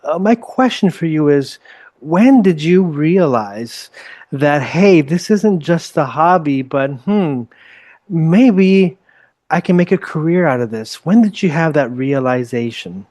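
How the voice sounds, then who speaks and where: A man's voice: on the high side, expressive, takes it slowly, darkish tone, dry recording. A man hosting an interview, asking long, wordy questions with frequent fillers. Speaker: podcast host.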